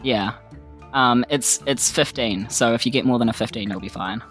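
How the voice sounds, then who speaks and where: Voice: mid-range voice, normal speed, a bit bright, soft background music. A player with a New Zealand accent chatting casually during a tabletop roleplaying game. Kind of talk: casual chat.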